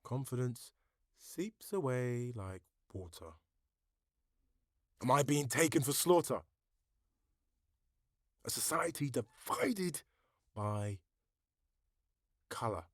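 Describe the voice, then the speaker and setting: A man recites verse dramatically at a slow pace. He breaks it into short, halting phrases, and the recording is very dry. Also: mid-range voice, animated, no room sound.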